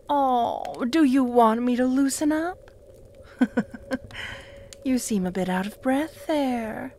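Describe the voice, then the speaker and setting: A woman voicing a cruel, jealous female vampire who taunts the listener in a slow, purring voice that often drops to a whisper. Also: sits high, a little hiss or hum. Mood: jealous, possessive. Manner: taunting, with soft mocking laughs. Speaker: voice actor in audio roleplay. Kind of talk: audio roleplay addressed to the listener.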